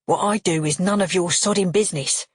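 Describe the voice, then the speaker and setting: A menacing older father with a criminal edge, voiced by a woman narrator in an audiobook thriller. He growls and snaps resentfully in a low, husky voice, recorded close and dry. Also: unhurried, fairly bright tone, no echo, heavily acted. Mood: snapping, sneering.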